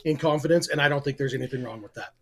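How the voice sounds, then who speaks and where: A man's voice: mid-range voice, very fast, fairly bright tone. A man co-hosting a sports podcast in casual chat, explaining points in detail with a dry sense of humor. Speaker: podcast co-host.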